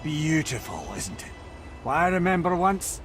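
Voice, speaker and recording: An actor playing an expressive companion character in a fantasy adventure video game. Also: male voice, fairly high pitch, moderate pace, soft background music. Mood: wry. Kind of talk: video game cutscene acting.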